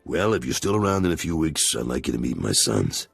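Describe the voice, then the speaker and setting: Deep, gravelly older gang boss. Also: male voice, big pitch swings, brisk, no room sound, soft background music, exaggerated voice. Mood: pleased, fatherly. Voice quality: gravelly, raspy.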